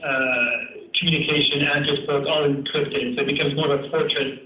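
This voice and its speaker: A man's voice: on the high side, level tone, brisk, a little hiss or hum. A man giving a keynote talk over a video call, then answering questions with many fillers. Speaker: keynote speaker. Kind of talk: remote presentation and Q&A. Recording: tinny distant call audio in an echoey room.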